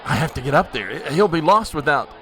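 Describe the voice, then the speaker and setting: An actor playing a fussy, anxious, long-suffering presidential aide in a comedic audio drama. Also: male voice, fairly high pitch, very animated, a little fast, bright, forceful tone. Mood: panicked. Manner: fussy, deferential, hesitant.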